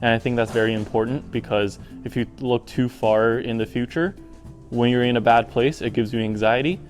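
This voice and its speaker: A man's voice: medium pitch, fairly even intonation, moderate pace, faint music behind. Casual young man musing. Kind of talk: reflective interview answer. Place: outdoors at a golf driving range.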